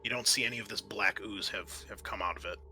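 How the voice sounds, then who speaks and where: A man working as game master, improvising halting, descriptive narration for a tabletop sci-fi horror game. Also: fairly low pitch, bright, forceful tone, slight background noise.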